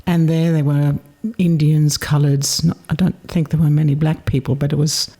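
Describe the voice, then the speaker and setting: An older woman in her seventies, originally from South Africa, recalls her past in an unhurried interview. Her voice is warm and mellow, with a slightly husky, breathy edge, and she speaks in a reflective, measured way, pausing to gather her thoughts. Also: low-pitched, flat delivery. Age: 70s. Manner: reflective, measured storytelling with pauses. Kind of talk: reminiscing in a recorded interview.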